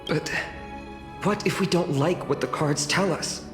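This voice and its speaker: An actress playing an earnest, humble young village sword trainee in a video game. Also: female voice, low voice, unhurried. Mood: hopeful. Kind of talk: scripted game voice acting. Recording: echoing hall reverb, faint music.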